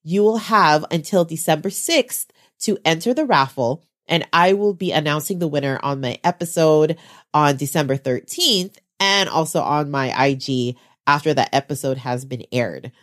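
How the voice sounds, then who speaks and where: A woman hosting a podcast in a chatty, casual way, stuffed up and getting over the flu. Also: moderate pace. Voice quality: lower voice than usual. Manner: chatty, says "y'all", fillers. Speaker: podcast host.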